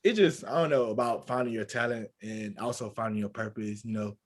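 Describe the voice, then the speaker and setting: A man's voice: fairly low pitch, fairly quick. A man in his twenties, co-hosting a casual podcast, talking in an easygoing, reflective way full of "you know". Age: 20s.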